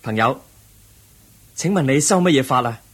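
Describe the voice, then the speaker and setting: An actor playing a polite, earnest young seeker in a radio drama, asking questions courteously and expressively. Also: male voice, mid-range voice, expressive, moderate pace, a bit bright, husky. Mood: curious. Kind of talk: Cantonese radio drama acting.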